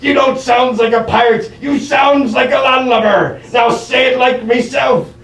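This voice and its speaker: A roomy, distant stage recording of a bossy pirate captain. The voice is gravelly and pitched high, swinging widely as it barks theatrical pirate dialect. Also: male voice, steady tone, a little slow, fairly bright tone, heavily acted. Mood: angry, rallying. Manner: pirate dialect, barking exclamations. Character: blustering, bossy old-time pirate captain. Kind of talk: theatrical children's stage play. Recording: roomy stage, distant mic, faint noise.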